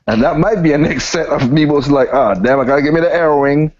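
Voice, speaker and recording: Male podcaster, slightly high voice, emphatic slangy opinions, casual delivery, dull lo-fi recording. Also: fast talker, darkish tone. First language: not standard American English. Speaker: podcaster, guest on a gaming podcast.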